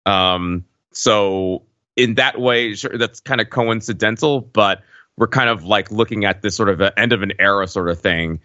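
A man's voice: lowish voice, normal speed, sharp tone, no echo, dull audio. A man talking casually as a podcast panelist, thinking through films with rambling asides and qualifications. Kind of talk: casual film discussion.

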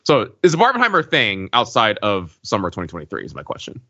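A man with a very low yet forceful voice co-hosts a casual discussion. He lays out his points in a measured, lightly ironic way. The sound is dry and a little muffled. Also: huge pitch swings, no room sound. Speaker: podcast host. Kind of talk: casual pop culture discussion.

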